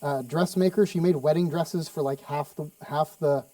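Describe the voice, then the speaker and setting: Man, cooking show host, rambly and casual, mellow tone, dry distant mic. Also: fairly high pitch, fairly even intonation, normal speed, no echo. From Sweden. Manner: chatty, rambling with many fillers and restarts. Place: studio kitchen.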